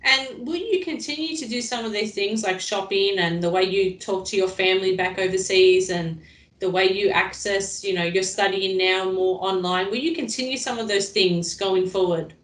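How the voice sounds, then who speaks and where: A woman's voice: mid-range voice, normal speed, a bit bright. Woman interviewer in an echoey room. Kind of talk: interview questions. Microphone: video call. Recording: echoey room on a video call.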